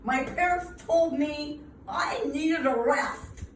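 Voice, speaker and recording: A woman reading a picture book to young children in a theatrical, emphatic way, pausing to engage them with asides. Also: high voice. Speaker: preschool owner reading at a children's storytime. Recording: roomy, distant mic, faint noise.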